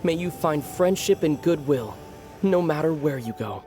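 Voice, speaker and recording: A man's voice: on the high side, lively intonation, normal speed, music in the background, lightly acted. A man voicing an earnest, kind young world-wandering traveler in a video game, speaking solemnly and sincerely. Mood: solemn, warm-hearted.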